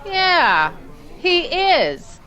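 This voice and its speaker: A woman playing an airy, rambling character in a radio play, casual, musing and unhurried. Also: extremely high pitch, sing-song, pushed and bright, highs cut off, full character voice. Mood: breezy. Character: airy, rambling woman stalling with idle questions.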